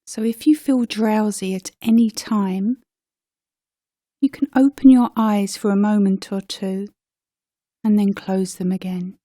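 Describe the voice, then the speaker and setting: A woman guides a meditation in a soft, mellow voice at a very slow pace. She speaks gently, leaving space between short phrases as a teacher would. The recording is dry, with no room sound around her words. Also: mid-range voice, steady tone, no echo.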